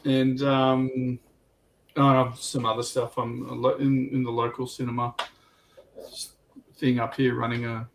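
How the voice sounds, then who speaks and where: A man's voice: medium pitch, measured pace, pauses often, poor audio. A man chatting casually as a contributor on a hobby livestream. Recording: steady faint background noise.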